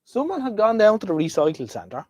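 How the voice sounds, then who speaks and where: A man's voice: high-pitched, very animated, brisk, a little mellow, no echo, clean studio sound. An Irish man with a slight head cold, sniffling as he chats casually and rambles to viewers while hosting a live stream. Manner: chatty, rambling, talks to viewers. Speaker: livestream host. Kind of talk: casual livestream chat. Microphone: desk microphone.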